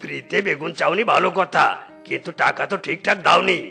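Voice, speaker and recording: A man's voice: fairly high pitch, a little fast, gravelly, pushed and bright, breathy edge, faint music behind, exaggerated voice. A man playing an indignant, stubborn village vegetable seller in a cartoon, protesting and haggling. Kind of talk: cartoon comedy dialogue.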